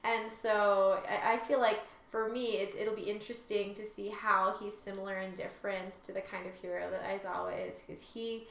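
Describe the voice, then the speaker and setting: A woman's voice: mid-range voice, steady tone, moderate pace, vocal fry, hardly any pauses, fairly bright tone, echoey room. A woman co-hosting a casual podcast, talking informally in tentative, loosely phrased thoughts. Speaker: podcast co-host. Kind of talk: casual chat.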